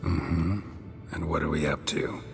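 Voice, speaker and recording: An actor playing a laconic, dry-humoured monster hunter in a fantasy video game, terse and deadpan. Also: male voice, rumbling low, a little slow, vocal fry, in a large hall, over music, exaggerated voice. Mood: wry, relaxed. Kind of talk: cinematic game voice acting.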